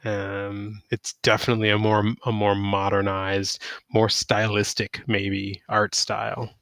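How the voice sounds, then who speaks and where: Low, even-toned man with creaky voice. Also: a little slow, dry recording. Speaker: podcast co-host. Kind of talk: casual video game podcast chat.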